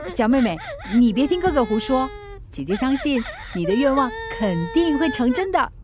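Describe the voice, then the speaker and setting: A woman's voice: high-pitched, very animated. A woman playing a kind but stubborn young delivery worker in a radio drama. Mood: bright, earnest. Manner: coaxing and earnest, quick to snap back.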